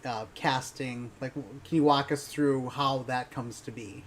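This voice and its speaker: A man with an average-pitched voice moderates a discussion. He asks questions in an informal, chatty style over faint background noise. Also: steady tone, measured pace. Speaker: panel moderator. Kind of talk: casual interview questions.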